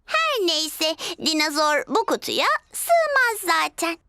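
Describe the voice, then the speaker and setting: A performer voicing a cheerful, bossy little girl piglet in a children's cartoon dub, speaking in an assertive, expressive childlike way. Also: very high voice, slow pace, dry recording, studio quality, exaggerated voice. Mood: bossy, explaining.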